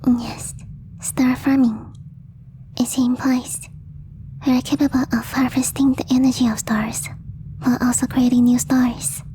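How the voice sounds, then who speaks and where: Gentle alien girl female performer, ASMR roleplay: breathy whisper, even melody, soft mellow tone, shyly formal and slightly stilted delivery. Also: high voice, unhurried, slight background noise, rough recording. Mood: gentle, earnest explaining. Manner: hesitant, apologetic, formal slightly stilted phrasing. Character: gentle, devoted young female alien posing as exchange student.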